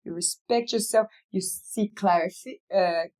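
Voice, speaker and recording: A woman from Africa gives heartfelt advice as an interview guest. She speaks emphatically at a middle pitch, circling back to key phrases and repeating them for weight. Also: a little slow, darkish tone. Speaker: interview guest, real estate company CEO. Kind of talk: motivational interview conversation.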